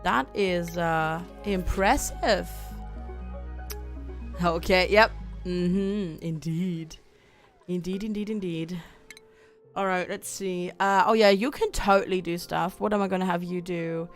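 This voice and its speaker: A woman's voice: lowish voice, fairly even intonation, slow, faint music behind. A young woman from Germany chatting casually with her viewers while playing a game on a livestream, reading out chat and laughing often. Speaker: streamer.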